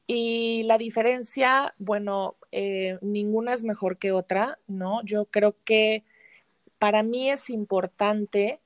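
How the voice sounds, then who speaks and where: A woman with a Mexican accent speaks as an interview guest in a conversational, explanatory way. Her voice sits at a medium pitch and is recorded dry, with very little room sound. She often checks in with short tag questions. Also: steady tone, slow pace, no echo. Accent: Mexican Spanish. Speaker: interview guest, flower remedy practitioner and teacher.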